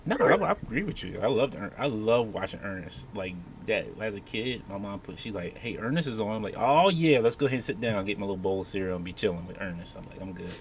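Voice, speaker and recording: A man's voice: fairly low pitch, expressive, fast, few pauses, a little hiss or hum. A man chatting casually as a panelist, in an informal conversational style. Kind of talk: casual chat.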